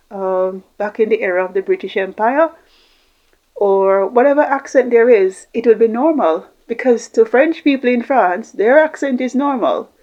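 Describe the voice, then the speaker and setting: A woman's voice: mid-range voice, a little mellow, highs cut off. A woman giving an informal explanatory talk, reflective and repeating phrases for emphasis. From Jamaica, Caribbean. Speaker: host giving communication tips.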